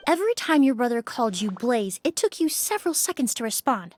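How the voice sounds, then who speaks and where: A woman voicing a composed, shrewd young perfumer in a video game, speaking in a measured, formal, courteous manner. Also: on the high side, big pitch swings, darkish tone, slight background noise. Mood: probing, confident. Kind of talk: scripted video game dialogue.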